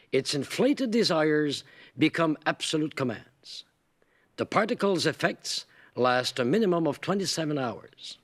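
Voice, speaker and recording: An actor playing a scientist who explains a technical device in a lecturing, demonstrative manner. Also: male voice, on the high side. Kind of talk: scripted film voice-over.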